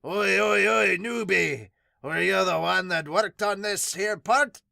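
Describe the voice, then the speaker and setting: Guy playing shouty carpenter, high strained voice, rough slang, brash, manga dub. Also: takes it slowly, dry recording, studio quality, full character voice. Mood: brash, loud. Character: gruff, brash workshop boss and cheeky carpenter underling.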